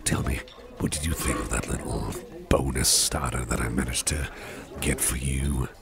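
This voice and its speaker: A man playing a big, muscular, jovial boss with a hearty appetite in an ASMR dinner-date roleplay, speaking in a folksy, soothing, reassuring way. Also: music in the background. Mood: pleased, genial. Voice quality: very deep, gravelly. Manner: slow, soothing, chuckling, folksy, repeats phrases reassuringly. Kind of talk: ASMR roleplay, personal attention. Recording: restaurant crowd murmur behind the voice.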